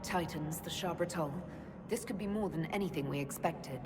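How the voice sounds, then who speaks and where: A woman's voice: fairly low pitch, normal speed, noisy background, lightly acted. An actress playing an inquisitive, composed woman adventurer leader with dry wit in a video game cutscene. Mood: awed, serious. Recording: loud music behind. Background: loud game score.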